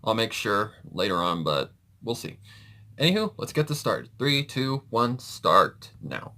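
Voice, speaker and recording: A man's voice: on the low side, sing-song, unhurried, sharp tone. A man hosting a reaction video, chatting casually off the cuff in a hesitant, rambling way as he thinks aloud.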